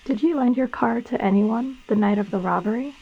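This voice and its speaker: A woman playing a police detective who questions a suspect in a formal, measured way in an acted interrogation recording. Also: on the high side, gravelly, phone-quality sound, soft background music. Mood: formal, probing.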